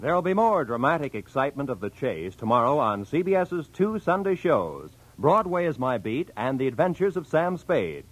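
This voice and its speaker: A man's voice: medium pitch, sing-song, normal speed, hardly any pauses, rough recording. An American man announcing on 1940s radio in a polished, declamatory style. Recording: vintage radio broadcast, music underneath.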